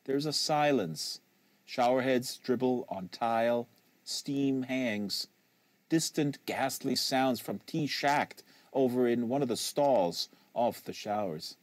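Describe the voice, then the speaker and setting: A man giving a live, measured and expressive reading of a literary novel as the narrator. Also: medium pitch, no room sound. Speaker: audiobook reader and livestream host. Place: small sound-treated studio. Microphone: close studio microphone.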